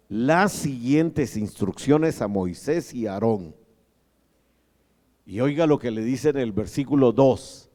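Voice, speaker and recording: A pastor in his sixties giving a sermon in a joking manner, growing louder as he preaches in a large church hall. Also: mid-range voice. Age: 60s. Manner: slow, joking, grows loud when preaching. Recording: long church reverb.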